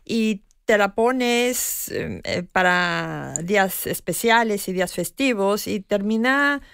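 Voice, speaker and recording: A woman in her fifties talking in a measured, reflective way as a radio guest. She pauses often and searches for the right word. The studio sound is dry and close. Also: mid-range voice, gravelly, a bit bright, smooth, dry recording. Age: 50s. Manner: thoughtful, measured, with frequent pauses and hesitations. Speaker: guest expert on language learning. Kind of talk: radio conversation.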